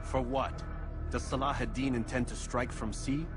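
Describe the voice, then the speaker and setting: A man playing a calm, grave hooded assassin in a video game, speaking in a measured, restrained way. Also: mid-range voice, over music, natural voice. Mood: cool, probing.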